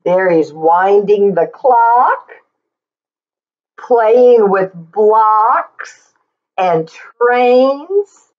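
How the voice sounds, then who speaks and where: A middle-aged woman reading a picture book aloud for children, expressively and deliberately, drawing out words and adding simple explanations. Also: medium pitch, expressive, lo-fi sound. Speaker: children's story reader and teacher.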